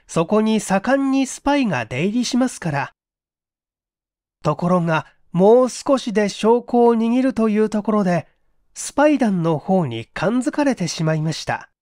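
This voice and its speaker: A woman voicing an earnest, urgent male secret agent, polite and measured, in a dramatic audiobook reading. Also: medium pitch, darkish tone, dry recording, clean studio sound.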